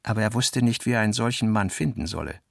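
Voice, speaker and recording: A man narrating a literary radio drama, delivering long scripted sentences in a precise, measured way. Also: fairly low pitch, creaky, no echo, clean studio sound. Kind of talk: literary scripted narration, voicing reported dialogue.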